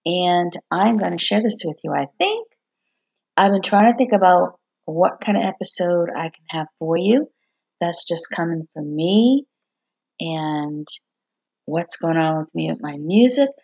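A woman who hosts a podcast and writes songs, talking openly and reflectively at home while hoarse from losing her voice. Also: fairly low pitch, a little slow, darkish tone, lo-fi sound. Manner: open, reflective, confiding. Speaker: podcast host, singer-songwriter. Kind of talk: candid personal monologue. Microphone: computer recording.

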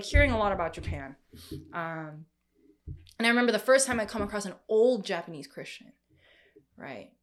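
A young woman gives a sermon in a loose, conversational style. She often breaks off, restarts and fills pauses as she works through her thoughts. Her pitch sits in an ordinary middle range. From Korean family background. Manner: conversational, many fillers and restarts. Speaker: preacher.

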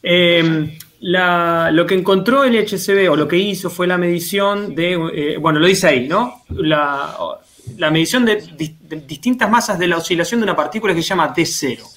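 A man with a notably high voice and an Argentine accent. He explains things casually, in a didactic way, pausing on short tag questions to check he is being followed. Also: a bit bright. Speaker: panelist explaining science.

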